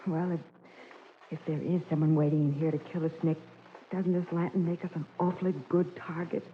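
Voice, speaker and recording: A woman's voice: low-pitched, little pitch movement, fairly quick, close to an everyday voice. A tinny, faintly hissy vintage radio recording of a plucky female sidekick, played by an actress. She sounds eager, questioning and a little uneasy, in period detective drama style. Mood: frightened. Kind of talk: 1940s radio drama acting.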